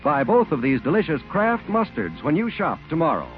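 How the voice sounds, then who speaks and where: A man's voice: a little high, huge pitch swings, a little fast. A man delivering a scripted 1940s radio advertisement in an emphatic, polished sales style. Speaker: radio commercial announcer. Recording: dull, lo-fi 1940s radio recording. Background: music.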